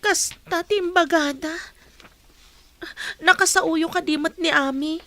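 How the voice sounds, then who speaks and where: A woman's voice: very high-pitched, takes it slowly, a bit bright, exaggerated voice. A woman playing an anxious, wistful young schoolchild in a radio drama. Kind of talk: Filipino radio drama acting.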